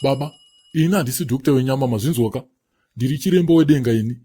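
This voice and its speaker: Cartoon faith healer, played by a man: soft-edged, fast male delivery, puffed-up yet pleading. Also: mid-range voice, slight background noise, lightly acted. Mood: insistent. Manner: pompous, wheedling. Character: self-important male prophet and faith healer pleading at a gate. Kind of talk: comedy cartoon voice acting.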